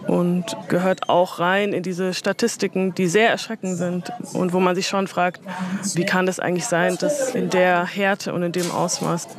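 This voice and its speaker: A woman from Germany, an interview guest who works as an educator, giving reflective, measured answers. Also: fairly low pitch, little pitch movement, crowd noise.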